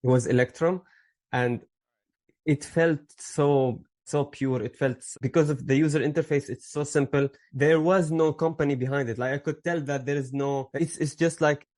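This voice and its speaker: A man's voice: on the high side, fairly even intonation, moderate pace, a little mellow. A man in his thirties from Syria, a podcast guest telling his story in a rambling way, with fillers and restarts. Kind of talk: casual interview storytelling.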